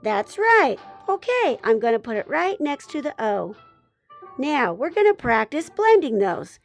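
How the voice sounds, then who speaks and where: A woman's voice: high voice, sing-song, a little slow, gravelly, faint music behind, full character voice. A woman voicing a kind, patient little boy who teaches in an encouraging way in a children's phonics story.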